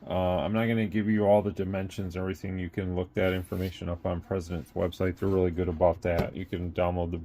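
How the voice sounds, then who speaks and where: Male product reviewer, deep and mellow, unscripted and casual, rambles while thinking aloud. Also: little pitch movement, fast talker, few pauses, slight background noise. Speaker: product reviewer and radio seller.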